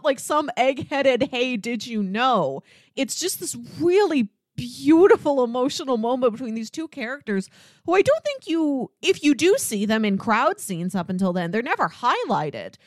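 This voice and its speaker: A woman co-hosting a casual film discussion in an expressive way, given to acting out little mock quotes. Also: sits high, normal speed, dry recording, studio quality. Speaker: podcast co-host.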